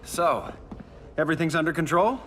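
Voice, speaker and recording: An actor playing a cocky, flippant vigilante hero in a video game, tossing off sarcastic quips. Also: male voice, a little high, normal speed, a little hiss or hum, natural voice. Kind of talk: video game cutscene acting. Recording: under loud orchestral score.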